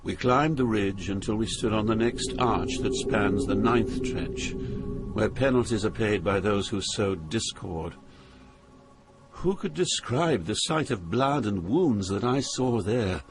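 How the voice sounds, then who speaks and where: Man playing haunted poet narrator, medium pitch, solemn, measured, dramatic epic storytelling, music underneath. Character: sorrowful male poet narrating his journey through Hell.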